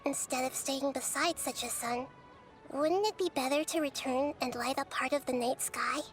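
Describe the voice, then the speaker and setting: Woman playing a calm wise little goddess girl, high soft-natured game voice, measured explaining, bit of music under it. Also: natural voice. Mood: solemn storytelling. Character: small, wise, gentle girl deity, calm observer. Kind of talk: video game voice acting.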